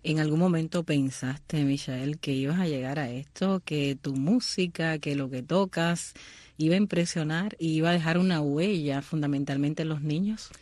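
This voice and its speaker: A man's voice: fairly high pitch, normal speed, darkish tone, no echo. A male radio host asking questions and adding short clarifications for listeners. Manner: brief affirming interjections and clarifications for listeners. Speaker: radio interviewer. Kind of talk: interview hosting.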